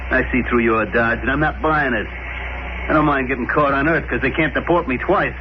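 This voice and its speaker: An actor playing a bullying, cocky escaped convict with gruff, slangy talk in a 1950s science fiction radio drama. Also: male voice, mid-range voice, fairly quick, noisy background, natural voice. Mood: angry, bullying. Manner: gruff, slangy, snapping tough-guy talk. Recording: dull old radio recording with music.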